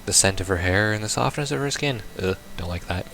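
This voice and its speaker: A man's voice: on the low side, lively intonation, a little fast, vocal fry, fairly bright tone, slight background noise. A man giving casual let's-play commentary on a visual novel, reading text aloud and reacting in a wry, offhand way. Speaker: gamer playing a visual novel.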